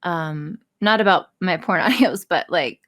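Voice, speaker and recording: Frank, sweary woman with a lowish voice. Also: unhurried, fairly bright tone. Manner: frank, sweary, self-deprecating. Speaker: voice actress and audio creator being interviewed. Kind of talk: casual, candid podcast interview chat.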